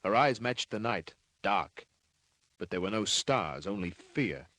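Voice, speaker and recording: Terse hard-boiled detective, dry sound. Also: male voice, on the low side, expressive, a little slow, bright, forceful tone, no echo, full character voice. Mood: world-weary, wry. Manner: terse, wry, clipped phrases. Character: hard-boiled, wisecracking male private detective. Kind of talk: 1950s radio crime drama narration and acting.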